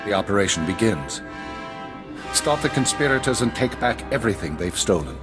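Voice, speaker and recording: An actor playing a grave, authoritative older intelligence minister, speaking in a measured, formal way in a video game. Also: male voice, on the low side, very animated, music in the background. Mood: reflective, resolute. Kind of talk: video game drama acting.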